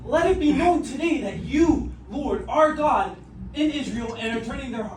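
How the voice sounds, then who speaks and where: A man playing a fiery prophet in a dramatized scripture recitation, loud, declamatory and emphatic. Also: very high-pitched, animated, moderate pace. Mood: pleading. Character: fiery male prophet, taunting rivals, pleading with God. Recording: roomy live recording, distant mic, faint noise.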